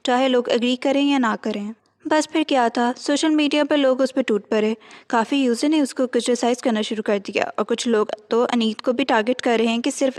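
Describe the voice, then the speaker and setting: A woman's voice: sits high, in a large hall. A woman with an Indian accent presenting scripted entertainment news, mixing Hindi with frequent English words. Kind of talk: scripted celebrity gossip narration.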